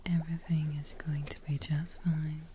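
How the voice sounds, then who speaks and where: A young woman playing a gentle, reassuring witch in an ASMR role play, speaking softly and breathily, close to the microphone. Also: deep voice, flat delivery, slight background noise, lightly acted. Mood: soothing, calm. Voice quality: soft, breathy, whispery. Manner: slow, reassuring, unhurried. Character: gentle, soothing witch tending a patient.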